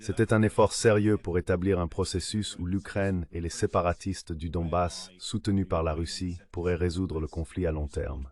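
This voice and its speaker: A man's voice reading a translated interview answer as political analysis, in a measured way. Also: deep voice, fairly even intonation, a little mellow. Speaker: AI voice-over translating an interview guest.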